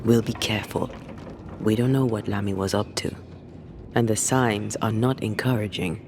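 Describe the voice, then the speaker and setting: An actor playing a grave, wary investigator of occult dangers in a video game, speaking in a measured, ominous way. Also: male voice, lowish voice, fairly even intonation, noisy background, natural voice. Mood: cautious.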